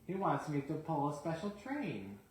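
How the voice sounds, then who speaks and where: A man's voice: fairly high pitch, huge pitch swings, normal speed, very echoey, voice pushed up, rough recording. A man voicing a proud, grumbling male steam engine in a children's storybook, with a smug manner. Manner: smug, self-satisfied.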